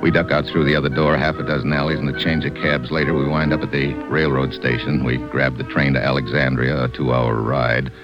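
A man's voice: rumbling low, little pitch movement, fairly quick, hardly any pauses, dull audio. A man playing a hardboiled American investigator in a vintage radio noir, with a terse, wry, informal manner. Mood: narrating, tense. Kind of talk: 1950s radio noir acting, first-person narration. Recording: vintage radio broadcast, music behind.

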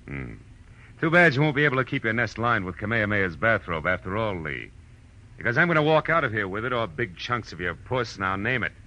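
An actor playing a tough, wry private detective in a vintage radio drama, dry and terse. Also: male voice, lowish voice, big pitch swings, fairly quick, sharp tone, dull audio. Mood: threatening. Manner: hard-boiled, dry, terse. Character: tough, wry male private detective narrating his case. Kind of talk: 1940s radio drama acting and narration.

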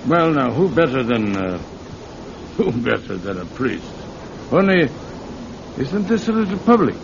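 A man's voice: very animated, a little slow, creaky. A man acting in an old-time radio drama as a sly thief posing as a folksy, fatherly priest, with hesitant, chuckling delivery. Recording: muffled, noisy old radio recording with rumble.

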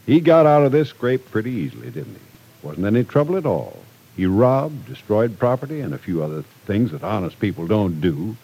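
An actor playing a stern, unforgiving middle-aged businessman and father in an old radio drama, gruff, sarcastic and emphatic. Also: lowish voice, warm tone, full character voice, low-quality recording. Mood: indignant, sarcastic.

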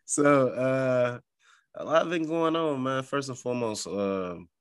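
A man's voice: mid-range voice, slow pace, smooth, no room sound, clean studio sound. A man with a congested, stuffed-up nose hosting a podcast in casual, profane chat, often laughing. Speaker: podcast host.

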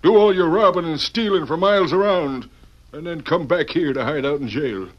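A man's voice: on the high side, moderate pace, lightly acted. Earnest, troubled frontier sheriff played by a man, muffled. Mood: rueful, bitter. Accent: American. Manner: earnest, protesting. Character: troubled, compromised Western town sheriff, indignant but cornered. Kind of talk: old-time radio Western acting. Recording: dull vintage radio recording.

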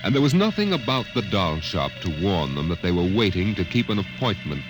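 A man announcing and narrating a 1950s radio drama in a dramatic, drawn-out delivery. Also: low-pitched, big pitch swings. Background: music.

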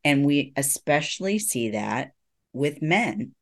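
A middle-aged man, a psychologist guest, explaining things in an earnest, reassuring, measured way in a conversational interview. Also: a little high, very animated, fairly bright tone.

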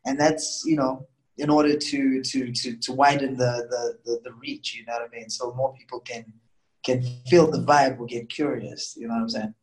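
A man's voice: mid-range voice, steady tone, moderate pace, low-quality recording. Zimbabwean guy, musician being interviewed, casual chatty answers.

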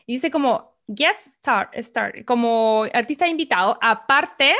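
A woman's voice: fairly high pitch, lively intonation, unhurried, a bit bright. A young woman with a Chilean accent, co-hosting a casual chat and chatting at length with many fillers. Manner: chatty, reads lists aloud, many fillers. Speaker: podcast co-host.

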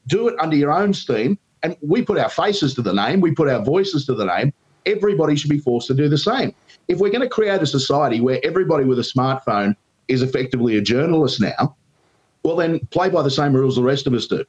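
A man's voice: animated, fairly quick. A man with an Australian accent, a radio talkback host, giving emphatic opinions with frequent ums as a guest. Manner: emphatic, pitch leaps when indignant, frequent ums. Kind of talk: opinionated commentary in an interview. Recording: dull lo-fi remote line.

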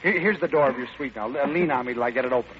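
An actor playing a suave, playful romantic gentleman, urbane and teasing, in an old-time radio drama. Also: mid-range voice, very fast, crowd noise, natural voice. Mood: tender, amused. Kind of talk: 1940s-style radio play acting. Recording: muffled, noisy old radio broadcast.

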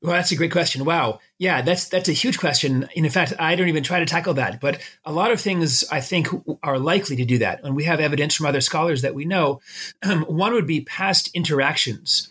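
Husky middle-aged American man, slightly high-pitched. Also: a little fast, a bit bright. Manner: explanatory, illustrates with everyday examples. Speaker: academic expert guest. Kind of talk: conversational explanation in an interview.

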